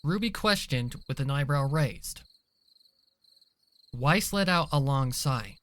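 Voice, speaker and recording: A man's voice: mid-range voice, lively intonation, slow pace, fairly bright tone. A man reading scripted dramatic story narration as the narrator of an audio drama.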